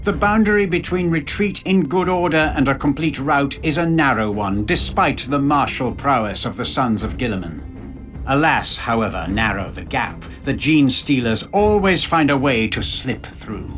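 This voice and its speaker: An actor playing a grave war chronicler, narrating a video game in a formal, portentous manner. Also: male voice, mid-range voice, lively intonation, normal speed, a bit bright, faint music behind, lightly acted. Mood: solemn. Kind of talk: scripted dramatic game narration.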